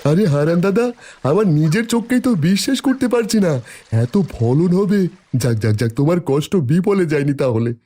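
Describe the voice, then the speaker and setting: A man's voice: on the high side, normal speed. A man voicing a loyal, cheerful young village helper in a cartoon, encouraging in manner. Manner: animated, encouraging. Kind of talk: cartoon voice acting. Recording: soft music behind the voice.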